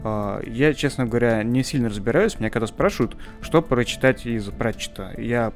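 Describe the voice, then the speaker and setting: A man's voice: mid-range voice, normal speed, soft background music. A man hosting a podcast, chatting casually about books and often searching for his words. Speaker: podcast host. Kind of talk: casual book chat.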